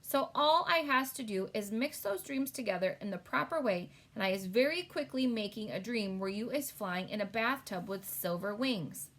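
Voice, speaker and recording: A woman's voice: on the high side, expressive, moderate pace, few pauses, a bit bright. A woman voicing a big, good-natured male storybook giant who talks in quaint mangled grammar. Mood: eager.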